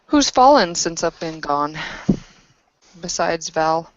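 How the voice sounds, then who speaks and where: A woman with a fairly low voice plays a tabletop roleplaying game. She speaks her character's lines and narrates in a dry, close sound. The audio is somewhat dull and lo-fi. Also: animated, slow, dry recording.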